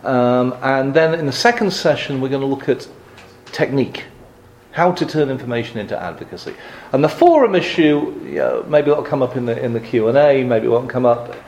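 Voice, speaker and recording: A man's voice: mid-range voice, expressive, brisk, darkish tone, slight background noise. A middle-aged man from England giving a live lecture with dry, wry humor and frequent ums and restarts. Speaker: guest speaker on advocacy.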